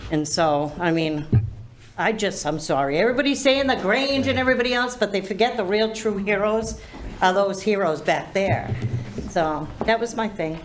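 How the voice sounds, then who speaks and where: A woman with a naturally loud voice, speaking bluntly and with emphasis during public comment at a town meeting. She talks at a medium pitch in a plain, direct way. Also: normal speed, slight background noise. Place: town council meeting room.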